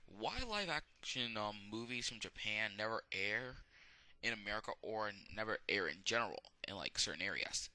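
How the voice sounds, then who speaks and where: A man's voice: on the low side, lively intonation, sharp tone, smooth, no echo. A young man giving a rambling, casual movie review, full of fillers and restarts, with a sore throat. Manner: halting, many fillers and restarts. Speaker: amateur video reviewer.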